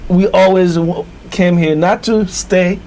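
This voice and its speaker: A man from Haiti, founder of a newspaper, answering interview questions in a measured storytelling way. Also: high voice, fairly even intonation, a little mellow, slight background noise, rough recording.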